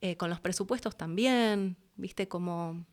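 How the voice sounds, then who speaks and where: An Argentine woman with a slightly low voice speaks as a guest in a relaxed interview. She is candid and conversational, forming her thoughts as she talks. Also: unhurried. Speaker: interview guest, voice actress and producer.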